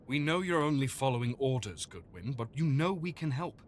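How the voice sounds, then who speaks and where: An actor playing a loyal, reasonable Victorian doctor and detective's companion in an audio drama, polite and earnest. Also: male voice, mid-range voice, lively intonation, fairly quick, slight background noise, lightly acted.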